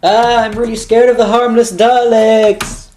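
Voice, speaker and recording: A man voicing a whiny, melodramatic, easily frightened character in an amateur sci-fi parody, in a high screechy falsetto with drawn-out words. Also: little pitch movement, background noise. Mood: terrified. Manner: drawn-out, overwrought wailing.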